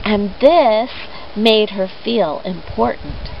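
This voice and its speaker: A woman telling a children's story expressively, leaning on key words with deliberate, dramatic emphasis. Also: mid-range voice, lively intonation. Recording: dull lo-fi audio with clear background noise.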